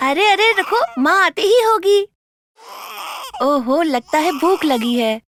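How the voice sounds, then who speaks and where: A woman voicing a timid, mistreated little girl in a cartoon, speaking gently and pleading. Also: very high voice, sing-song, slow pace, full character voice. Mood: soothing. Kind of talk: cartoon voice acting.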